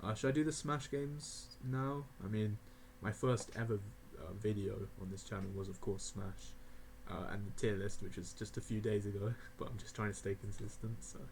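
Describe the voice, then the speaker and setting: A young man of eighteen talks loosely through his rankings, his voice sitting a little low, with frequent chuckles and filler words. A faint hum of the room sits behind him, with the odd mouse click. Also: fairly even intonation, moderate pace, few pauses, a little mellow. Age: young adult (18). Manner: rambling, laughing often, many fillers. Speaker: gaming video creator. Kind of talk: casual tier list commentary.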